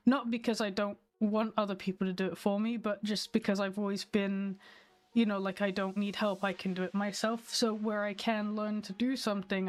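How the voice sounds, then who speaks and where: Slightly nasal young woman streamer. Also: medium pitch. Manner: chatty, candid, talks to her viewers. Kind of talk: casual stream chat.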